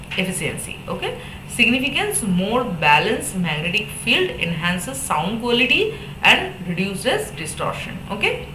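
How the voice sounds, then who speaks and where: Indian-accented woman lecturing in an echoey room. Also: mid-range voice. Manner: halting, with frequent repetition and okay tags. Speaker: electronics lecturer. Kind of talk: explanatory technical lecture. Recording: echoey room with background noise.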